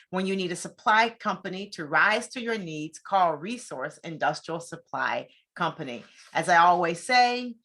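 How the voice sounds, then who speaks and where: A woman's voice: fairly low pitch, sharp tone. A woman hosting a conversational interview show, speaking in an effusive, encouraging way.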